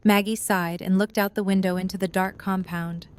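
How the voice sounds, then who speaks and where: Woman narrator, middle-pitched, scripted storytelling. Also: little pitch movement, moderate pace, smooth.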